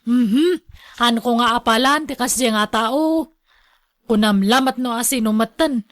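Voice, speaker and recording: A woman playing a discontented, sharp-tongued village wife and mother in a radio drama. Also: fairly high pitch, measured pace, no echo, clean studio sound, close to an everyday voice. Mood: complaining.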